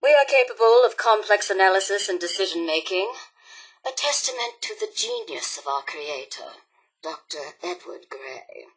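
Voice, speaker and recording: A woman's voice: mid-range voice, lively intonation, moderate pace, breathy edge, rough recording. A woman voicing a glamorous, haughty female robot supervisor in a video game, with a grand, affected theatrical drawl. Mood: proud, theatrical. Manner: grand, affected diva drawl, calls everyone darling.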